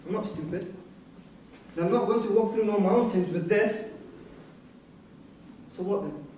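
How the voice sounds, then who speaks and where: A distant, very reverberant recording of an actor as a young male migrant prisoner in a staged play reading. His voice sits slightly high. He speaks plainly in rough grammar, defensive and pragmatic, over some background noise. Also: a little slow, mellow. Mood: defiant. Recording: distant mic in echoey room, noisy.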